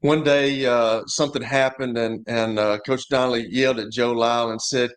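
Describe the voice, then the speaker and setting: Guy cohosting sports talk, medium pitch, informal storyteller, repeats himself a bit. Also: steady tone, moderate pace, clear, resonant tone. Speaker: sports talk co-host, former college player. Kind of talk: casual chat and storytelling.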